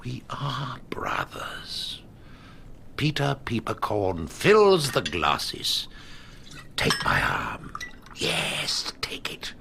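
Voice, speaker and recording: An overbearing, grandiose older man in a radio drama. His speech crawls in halting pieces, leaping wildly from booming command to breathy whisper, with a gravelly edge. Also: wildly swooping pitch, exaggerated voice. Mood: grandly solemn. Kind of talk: literary radio drama acting.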